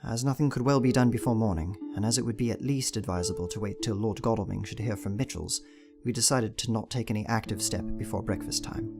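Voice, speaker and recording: A man with a creaky voice reading a diary as dramatised, scripted narration. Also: on the low side, fast. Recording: music behind the voice.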